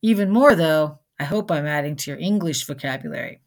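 Low-voiced woman narrating a scripted lesson. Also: brisk. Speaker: host of a vocabulary lesson.

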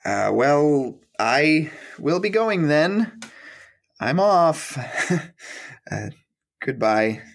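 A man acting in an audio play as an awkward, hesitant mapmaker who speaks in a halting, stammering way. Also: on the high side, sing-song, gravelly, full character voice. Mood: flustered, awkward. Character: awkward, hesitant male mapmaker leaving his job.

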